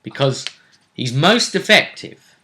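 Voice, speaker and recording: A man hosting a casual recap of a tabletop role-play tournament, telling it like a story with deliberate phrasing. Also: medium pitch, very animated, pushed and bright, a little hiss or hum. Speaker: host.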